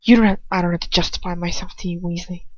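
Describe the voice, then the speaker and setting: A woman voicing a cold, possessive male villain in an audiobook, murmuring slowly with mocking condescension. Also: high-pitched, fairly even intonation, dry recording, dull audio, natural voice. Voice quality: hushed, murmuring, breathy whisper. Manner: slow, malicious, condescending.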